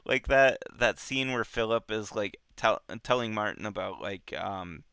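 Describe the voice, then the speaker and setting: Slow-talking man with a low, clear voice. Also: sharp tone, no echo. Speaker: book club show host. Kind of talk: casual book discussion.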